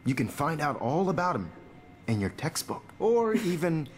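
A smug, theatrical teacher in a video game. His pitch swings widely as he lectures articulately and teases his students, with a faint noise behind him. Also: very animated, moderate pace. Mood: enthusiastic lecturing. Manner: articulate, smug, wryly teasing. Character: self-assured, theatrical male photography teacher.

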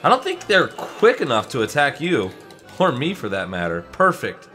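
A man's voice: medium pitch, normal speed, soft background music. A young man playing a friendly, eager superhero in a casual, chatty, improvised video game roleplay. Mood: excited. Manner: chatty, excitable, buddy-like.

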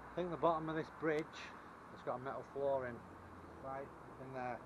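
A man's voice: mid-range voice, steady tone, fairly quick. A middle-aged man chatting casually and matter-of-factly to a camera a few feet away, as an outdoor vlogger. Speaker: vlogger, magnet fisher. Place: outdoors on a canal towpath by bridges. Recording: noisy outdoor canal-side camera audio. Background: clear outdoor ambient noise.

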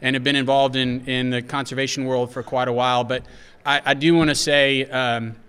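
A man from West Texas introducing a conference panel from a podium, talking haltingly with many restarts. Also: mid-range voice, little pitch movement, fairly bright tone, clean tone. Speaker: panel moderator. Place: hotel conference room. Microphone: podium mic. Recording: reverberant hall.

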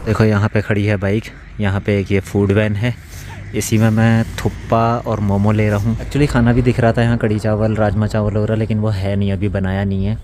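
A man's voice: on the low side, a little fast. A man in his thirties from India, casually narrating a motorcycle trip on mountain roads as a travel vlogger. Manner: relaxed running commentary while riding. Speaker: motovlogger. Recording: wind and engine noise outdoors. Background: road and vehicle noise.

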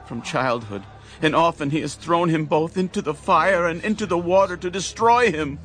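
A man's voice: on the high side, normal speed, a bit bright, lightly acted. An actor playing a desperate father in a dramatized audio Bible, pleading with anguished emotion. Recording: music under the voice.

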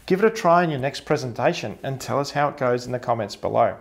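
A man's voice: mid-range voice, expressive, fast talker, gravelly. A man in his thirties with a thick Australian accent, presenting a scripted tech tip tutorial straight to camera in an upbeat, explanatory way. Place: indoor studio with a blurred office backdrop.

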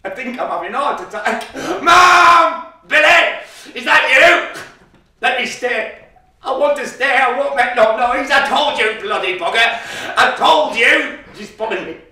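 A man's voice: sits high, fast, hardly any pauses. An actor playing a frightened, defiant eight-year-old boy who swears and blurts in a solo stage monologue, heard in a very echoey space from far off. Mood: panicked. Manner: hushed then shouting in panic.

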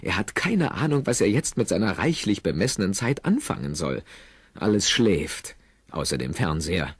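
A man narrating a comedy audio drama in an animated, wry way with rhetorical questions. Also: deep voice, normal speed, breathy edge, dry recording, clean studio sound. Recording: music under the voice. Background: music.

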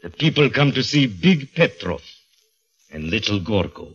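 An actor playing a huge, cruel, domineering acrobat in an old-time radio drama, with a husky voice and stern, menacing, formal phrasing. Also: male voice, fairly low pitch, very animated, a little slow. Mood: angry, scornful. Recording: slightly muffled old radio recording.

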